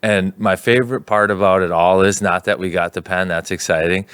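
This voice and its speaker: Male podcast host, lowish pitch, dry studio sound, casual storyteller who leans on repetition for emphasis. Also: steady tone, brisk, fairly bright tone, smooth, no room sound. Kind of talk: casual sports podcast banter.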